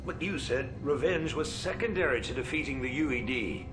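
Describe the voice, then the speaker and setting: An actor playing a proud, betrayed ruler in a video game, indignant and accusing. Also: male voice, mid-range voice, moderate pace, natural voice. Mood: outraged. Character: betrayed, outraged, proud male deposed ruler. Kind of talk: dramatic video game voice acting. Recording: voice under loud music.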